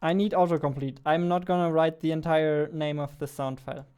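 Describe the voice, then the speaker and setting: A man, chatting casually on a livestream while he works, thinking aloud in a halting way. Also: fairly high pitch, steady tone, a little mellow, clean tone. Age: 20s. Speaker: game developer and streamer. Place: indoor room at a computer, keyboard clicks behind.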